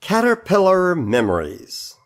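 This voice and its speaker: A man recites poetry slowly, phrase by phrase. His intonation is extremely animated, swinging widely up and down. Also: sits high. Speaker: poetry reader.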